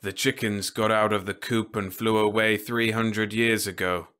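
A glum drunk slumped at a bar, as a male narrator reads him, his low voice heavy and a little slurred. It stays near the reader's own tone, gloomy and unhurried. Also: little pitch movement, a bit bright, clear, resonant tone, close to an everyday voice.

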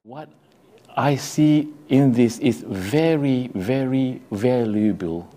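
A middle-aged man from Malaysia, giving a halting testimonial about a tour indoors. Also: mid-range voice, warm tone. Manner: halting, searching for words. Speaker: tour participant. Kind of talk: testimonial interview. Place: indoor meeting room.

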